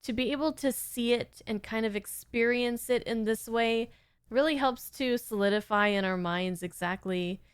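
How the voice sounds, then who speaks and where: A woman reaction host with a clear, smooth voice, talking unhurriedly. She reflects casually and appreciatively in a dry, close-sounding recording. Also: medium pitch, no echo. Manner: appreciative, thoughtful.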